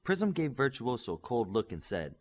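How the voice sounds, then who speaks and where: Male audiobook reader, slightly husky, lively pitch movement, scripted adventure fiction. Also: normal speed. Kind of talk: scripted narration of a superhero novel with acted dialogue.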